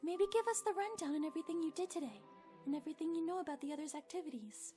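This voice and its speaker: A woman acts out a sharp young cartoon detective. The voice is extremely high, with a playful, inquisitive and teasing manner. Faint music sits behind it. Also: expressive, rapid-fire. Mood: polite, probing. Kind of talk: animated murder-mystery parody acting.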